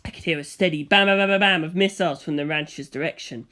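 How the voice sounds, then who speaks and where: A man narrating a first-person story as a scripted audiobook, reading in a dramatic, expressive way. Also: on the high side, fast.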